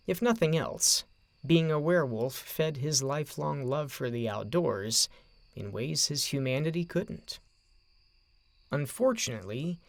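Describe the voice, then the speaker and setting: A man narrating a scripted story in a measured, dramatic way, with deliberate phrasing. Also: mid-range voice, gravelly, no room sound.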